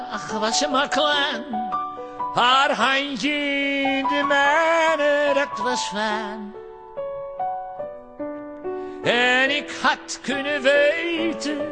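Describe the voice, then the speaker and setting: A man performing as a wistful, protective father of a grown-up daughter, in a storytelling song. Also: high voice. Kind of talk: narrative song with piano accompaniment. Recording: loud piano accompaniment under voice. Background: piano music.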